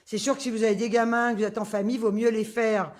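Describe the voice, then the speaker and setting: A woman's voice: fairly high pitch, flat delivery, fast, a little mellow. A woman, chatting casually through a grocery haul, full of fillers and asides. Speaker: vlogger.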